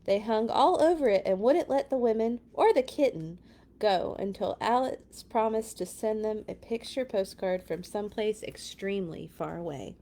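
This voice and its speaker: A woman's voice: mid-range voice, lively intonation, normal speed, dark tone. A woman reading a picture book aloud to children, with dramatic emphasis and chatty asides. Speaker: children's read-along storyteller.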